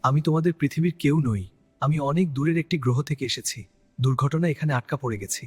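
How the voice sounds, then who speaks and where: Guy playing a gentle hurt alien in a cartoon, raspy, quick, solemn, soft music behind. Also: a little high. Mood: pleading. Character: gentle wounded winged alien, grateful, far from home. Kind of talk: cartoon dub dialogue.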